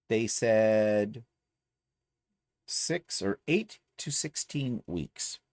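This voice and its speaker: A man's voice: on the low side, a little mellow, no echo, studio quality. A man from Canada chatting casually in a home vlog, rambling with self-deprecating asides from his sewing room. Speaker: quilting vlogger.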